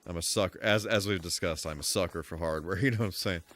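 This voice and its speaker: A man's voice: deep voice, fast talker, fairly bright tone. A man doing casual live commentary as he streams a video game, rambling and thinking aloud as he goes. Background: music behind the voice.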